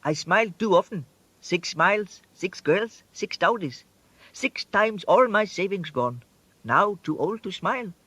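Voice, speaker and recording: A man's voice: high voice, animated, unhurried, bright, forceful tone, breathy edge, no echo, full character voice. A man playing an elderly, cheerful and wistful Indian sweeper with an Indian accent in a comic radio drama, lilting and eagerly polite. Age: older. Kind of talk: radio comedy drama acting.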